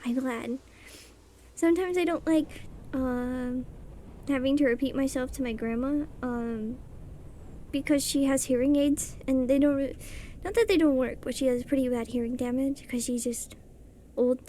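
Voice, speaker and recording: A young woman with a soft voice chatting casually and rambling while she draws on a livestream. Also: high-pitched, normal speed, slight background noise. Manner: chatty, rambling, self-deprecating, mutters settings under her breath. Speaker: streamer. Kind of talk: casual chat while drawing on stream. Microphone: streaming mic.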